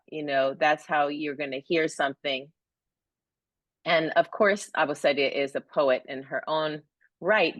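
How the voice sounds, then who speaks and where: A woman hosting an online poetry event over a video call, gushing and encouraging, reading poems slowly. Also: low-pitched, dry recording. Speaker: event host and poet.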